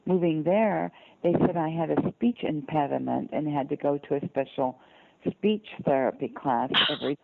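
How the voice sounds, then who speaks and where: A woman's voice: low voice, normal speed, creaky. Older woman on a narrow phone line. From southern United States (Georgia, North Carolina). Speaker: interview guest. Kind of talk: personal storytelling in conversation. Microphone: telephone.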